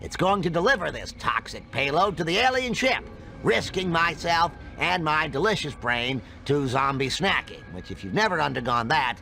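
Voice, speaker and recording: Excitable cartoon egghead scientist, swoopy gravelly voice. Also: male voice, a little high, normal speed, soft background music, exaggerated voice. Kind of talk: cartoon game cutscene acting.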